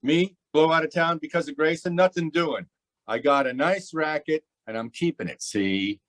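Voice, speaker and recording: A man's voice: a little high, normal speed, a bit bright, close to an everyday voice, low-quality recording. Man playing a brash, cocky gangster boss. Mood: defiant. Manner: brash slangy tough-guy talk. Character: cocky, stubborn male racketeer boss. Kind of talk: old time radio gangster acting.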